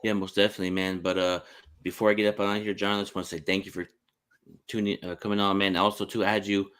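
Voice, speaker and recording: A man's voice: low-pitched, level tone, fast talker, fairly bright tone, clean tone. A man hosting a sports podcast in a casual interviewing style.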